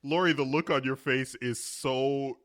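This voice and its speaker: A man's voice: medium pitch, fairly even intonation, measured pace, very noisy. A man bantering casually as a guest voice actor in a group conversation.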